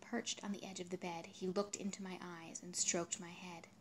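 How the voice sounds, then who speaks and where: A woman reading literary fiction aloud in a dramatic, expressive way, at times hushed. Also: on the low side, normal speed, a little hiss or hum. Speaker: translator reading their own literary translation.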